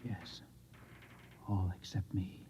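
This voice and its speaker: An actor playing a distraught, insistent Martian trapped in an Earthman's body, with intense, halting delivery in 1950s radio drama style. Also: low voice, little pitch movement, mellow, breathy edge, in a whisper, exaggerated voice. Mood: grave, haunted. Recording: slightly muffled vintage radio play recording.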